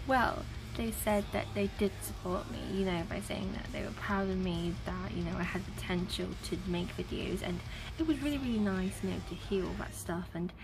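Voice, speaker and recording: A young woman with a slightly croaky voice from a sore throat, talking earnestly to camera in a casual vlog, full of "you know" fillers. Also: medium pitch, moderate pace, poor audio. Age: young adult. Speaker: vlogger. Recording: music playing in the background.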